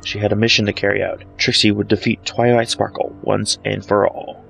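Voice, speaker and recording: Creaky-voiced man narrating a dramatic story. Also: level tone, brisk, poor audio. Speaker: fan fiction reader, narrator. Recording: low-grade home microphone, music bed. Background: soft dramatic background music.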